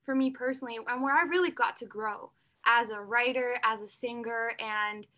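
A woman's voice: a little high, normal speed, pushed and bright. A young woman singer-songwriter answering interview questions, earnest and open, often saying "like" and "you know". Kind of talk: interview conversation.

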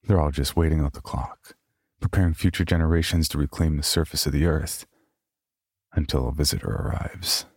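A man hosting a horror podcast, reading its notices and then narrating a scary story in a deliberate, ominous way. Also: a bass voice, fairly even intonation, darkish tone, slightly rough, dry recording, clean studio sound.

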